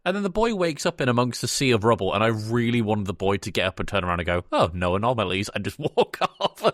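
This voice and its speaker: A man's voice: fairly low pitch, big pitch swings, fast talker, a bit bright, dry recording, clean studio sound. A man co-hosting a podcast, casually retelling a film in a loose, conversational way and acting out lines. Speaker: podcast co-host.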